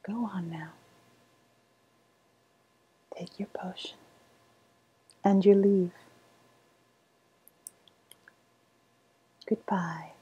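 A woman playing a sly, cooing woodland witch with a faint menace, in a breathy, softly spoken ASMR roleplay whisper. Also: lowish voice, highs cut off, full character voice. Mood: knowing, ominous. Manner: slow, savouring, coaxing delivery.

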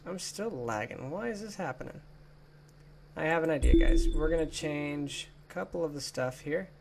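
A man's voice: on the high side, animated, normal speed, creaky, background noise. A young man giving casual let's play commentary, chatty and goofy with playful exclamations. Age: young adult. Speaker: gaming YouTuber. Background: game music.

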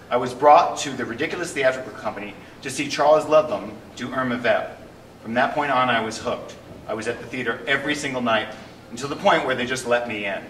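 A man in his fifties who founded a theater company, talking casually to the camera from a small stage with dry, deadpan humor. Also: mid-range voice, echoey room, far from the mic, a little hiss or hum, rough recording. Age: 50s.

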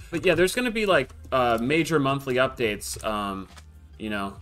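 A relaxed man streams a block-building game from his home setup, chatting loosely with his viewers and a co-player. His talk is casual and crude, and faint game music plays behind him. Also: medium pitch, measured pace. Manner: laid-back, crude joking, talks to chat. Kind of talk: casual gaming banter with chat.

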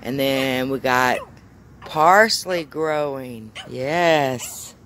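A woman's voice: very deep voice, very slow, a bit bright, slight background noise. A woman in a backyard garden filming on her phone and patiently coaxing a small child with simple questions. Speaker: mother giving a garden tour. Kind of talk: casual home video chat with a toddler. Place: backyard vegetable garden outdoors. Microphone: phone camera held while filming.

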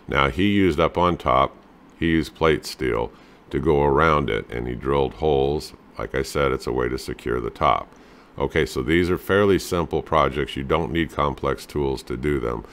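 Middle aged guy with a super deep voice, laid back diy host talking through pics, lots of um and you know. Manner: relaxed, plain-spoken, fillers like "you know" and "um". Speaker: home handyman host. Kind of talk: casual instructional talk over photos.

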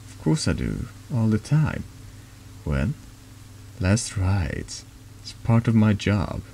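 A man voicing a gentle, weary priest in a horror game, reassuring and hesitant as he hears confession. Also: lowish voice, wildly swooping pitch, takes it slowly, gravelly, slight background noise, voice pushed up, full character voice. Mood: hesitant, earnest.

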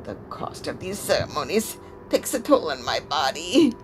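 A woman's voice: low-pitched, big pitch swings, a crowd in the background. A woman playing a weary old female spirit medium, solemn and foreboding, in a theatrical audio drama. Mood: haunted, grave. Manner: solemn, dramatic, deliberate pronouncements.

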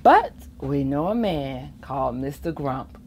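A middle-aged woman reading a rhyming picture book aloud to children in an expressive, playful way, with asides of her own. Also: a bass voice, a little slow, breathy edge. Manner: expressive, chuckling asides, acts out the rhymes. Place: library children's reading corner, indoors.

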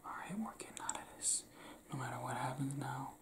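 A young man from Cleveland doing an intimate ASMR roleplay, talking softly and often whispering, recorded close in a small indoor room. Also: medium pitch, a little fast, background noise. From Cleveland, Ohio.

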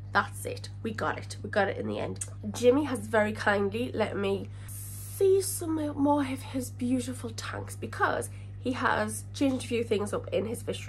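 A woman in her thirties with a congested, slightly crackly voice from a cold, hosting a fish-keeping channel and chatting to the camera in a rambling, self-correcting way. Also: fairly high pitch. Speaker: aquarium channel host. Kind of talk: casual talk to camera. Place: living room in front of a fish tank.

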